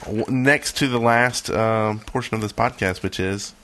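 A man co-hosting a podcast, chatting casually in a halting delivery. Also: on the low side, fairly bright tone, a little hiss or hum. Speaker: podcast co-host.

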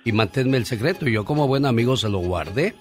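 A man's voice: medium pitch, expressive, fairly quick. Male radio host chatting over music. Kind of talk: casual radio chat. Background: music bed.

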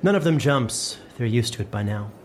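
Host as a male game master with an ordinary mid pitch, narrating and bantering casually over faint background ambience. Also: lively intonation, moderate pace, slight background noise.

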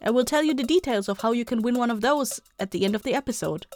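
A woman with a fairly high voice speaks as a podcast host. She delivers a scripted announcement directly to listeners, with music playing faintly behind her. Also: fast, a little mellow. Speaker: show host and voice actor.

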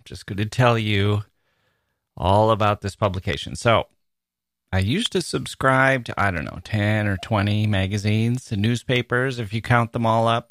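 A man's voice: on the low side, fairly bright tone, dry recording. A middle-aged American man hosting a podcast in a casual, chatty, wry monologue on a close microphone. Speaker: podcast host.

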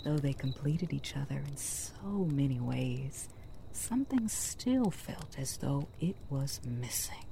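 Audio drama storyteller, low-voiced, hushed, wistful, dramatic, noisy ambient backdrop. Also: female voice, animated, slow pace, gravelly, exaggerated voice. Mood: hushed, ominous. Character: mysterious female fairytale storyteller, solemn and wistful. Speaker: storyteller narrator. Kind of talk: dramatic fairytale narration.

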